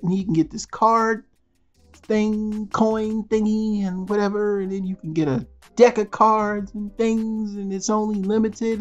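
A man's voice: high voice, darkish tone, dull audio. A man hosting a toy news channel, speaking as a blunt, slangy, rambling voice-over over still images. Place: voice-over, not in pictured place.

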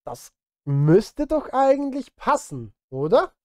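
A man's voice: very high voice, big pitch swings, takes it slowly, warm tone, dry recording. A middle-aged man chatting casually on a live stream as a music streamer, thinking aloud and grumbling at himself, close on a studio mic. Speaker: streamer and film music composer. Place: home studio, indoors. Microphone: close studio microphone.

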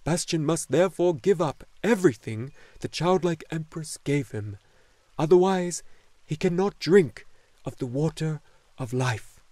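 A man performs a luck dragon from a fantasy storybook, wise and joyful. The voice sits fairly high and moves with wide, singing swings of pitch. Each phrase is delivered slowly and solemnly, in a close, dry sound. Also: expressive, warm tone, no echo. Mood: solemn, measured. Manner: slow, solemn, deliberate delivery.

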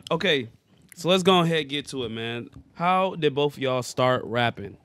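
A man's voice: medium pitch, expressive, fairly bright tone, clean tone. A young man in his twenties hosting a group podcast, teasing guests and steering the talk, speaking into a handheld mic in a living room. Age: 20s. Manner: joking, teasing, quick asides. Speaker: podcast host. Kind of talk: casual group chat. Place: living room with large couch.

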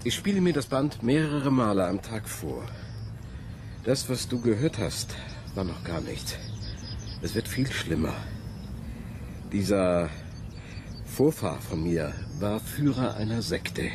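An actor playing a troubled, intense young male student in a radio drama, speaking in a brooding, halting way. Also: on the low side, big pitch swings, background noise, heavily acted. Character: troubled young male student haunted by his ancestor's memories. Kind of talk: German radio drama dialogue.

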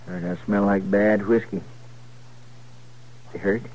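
An actor playing a folksy, fussy frontier deputy with a drawling, deferential rural Western accent in 1950s radio drama. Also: male voice, on the low side, fairly even intonation, a little slow, darkish tone, dull audio, close to an everyday voice. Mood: earnest. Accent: rural Western American. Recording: noisy vintage radio recording with clicks.

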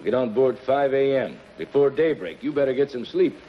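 An actor playing a loyal, weary middle-aged henchman, gruff and slangy, in a 1950s television crime melodrama. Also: medium pitch, expressive, normal speed, natural voice. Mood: gruff, exasperated. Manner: gruff, plain-spoken, slangy. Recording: muffled, noisy 1950s television soundtrack.

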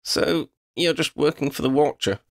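A man's voice: fairly high pitch, moderate pace, no echo, clean studio sound, pitched above the performer's own voice, close to an everyday voice. A man reading a story, voicing a young woman heroine in a raised, defiant voice. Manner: indignant, challenging.

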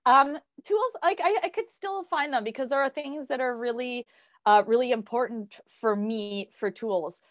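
A woman's voice: a little high, moderate pace, dry recording, studio quality. A woman co-hosting a podcast as a health coach, speaking in casual conversation.

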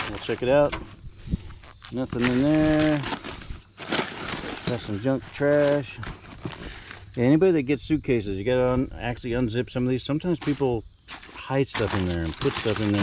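Guy chatting loosely over his storage unit finds outside, little jokey asides, outdoor noise all around. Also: mid-range voice. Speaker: storage unit reseller vlogger. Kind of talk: casual walkthrough commentary. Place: outdoors on a rural lawn.

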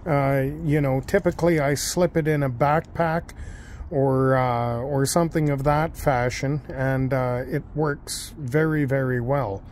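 A man giving an unscripted, matter-of-fact and halting demonstration as a hobbyist host, recorded outdoors on a handheld device. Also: medium pitch, fairly even intonation, a little mellow, a little hiss or hum. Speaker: amateur radio hobbyist and video host. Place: outdoors in a backyard and deck.

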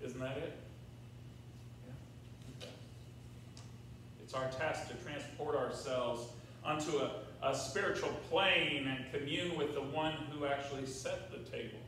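A man's voice: mid-range voice, steady tone, unhurried, pauses often, a bit bright. Older male American pastor, conversational and emphatic, recorded from afar in a sanctuary with faint noise. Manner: conversational, rhetorical questions with check-ins to congregation. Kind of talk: sermon. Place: large church sanctuary, at pulpit. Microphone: far camera in the room. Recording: very echoey church, distant mic, faint noise.

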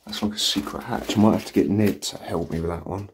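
A man's voice: low voice, quick pace, a little hiss or hum. A man hosting an exploring vlog, walking through an empty house indoors and marvelling to his viewers as he goes. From England. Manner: excited, marvelling asides to viewers. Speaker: urban explorer host. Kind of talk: walkthrough vlog narration.

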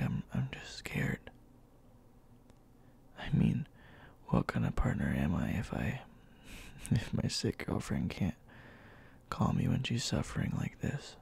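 A man playing a gruff, worried and tender young boyfriend in an ASMR roleplay, speaking softly and haltingly in a breathy, gravelly half-whisper. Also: medium pitch, full character voice. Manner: soft, halting, intimate.

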